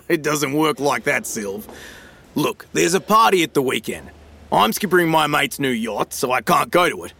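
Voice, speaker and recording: An actor playing a slippery, matey Australian financial dealer in an audio drama, full of slangy, glib sales patter. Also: male voice, a little high, expressive, unhurried, gravelly, bright, forceful tone, full character voice. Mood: enthusiastic, selling.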